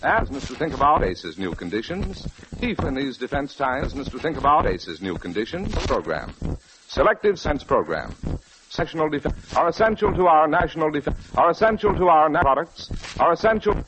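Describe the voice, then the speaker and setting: An American man delivers a formal, earnest 1940s radio commercial. His fairly high voice moves with very lively intonation. The old broadcast is audibly noisy. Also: a little fast. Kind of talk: 1940s radio advertising read.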